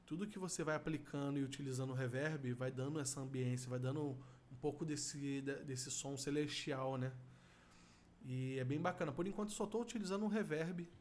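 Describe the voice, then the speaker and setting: A man's voice: medium pitch, a little fast, a little mellow, slight background noise. A middle-aged man with a Brazilian accent, explaining vocal effects as an instructor in a tutorial. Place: small indoor room at a computer.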